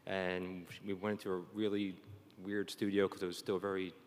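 A man with a lowish voice hosts a live panel in a chatty, joking way. The sound carries the long reverb of a conference stage. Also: little pitch movement, moderate pace, a little hiss or hum. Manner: chatty, jokey. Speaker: panel moderator. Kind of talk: informal live panel hosting.

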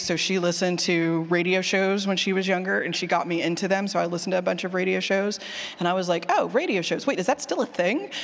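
A woman presents casually to a room in a reverberant hall. She is candid and animated, poking fun at herself and voicing her own thoughts as she goes. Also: fairly low pitch. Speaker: presenter, fiction podcast writer. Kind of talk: casual conference talk with audience questions. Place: indoor session room with long reverb.

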